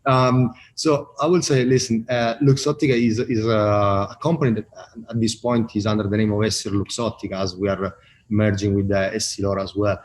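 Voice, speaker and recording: Italian-accented man, halting interview talk. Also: fairly low pitch, flat delivery, a little hiss or hum. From Italy. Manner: halting, many fillers and restarts. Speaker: brand marketing guest.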